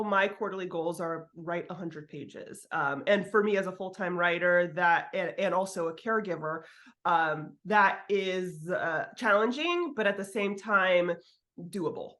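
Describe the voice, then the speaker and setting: A woman's voice: medium pitch, fairly bright tone. A woman chatting informally as an interview guest, giving writing advice in a candid way with the occasional swear word. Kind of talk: casual interview chat.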